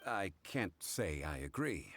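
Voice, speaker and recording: An actor playing a composed, erudite man in a video game, formal and deliberate in his reasoning. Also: low-pitched, sing-song, slight background noise, full character voice. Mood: calm, firm. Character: composed, erudite man, calm and measured debater. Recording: loud background music.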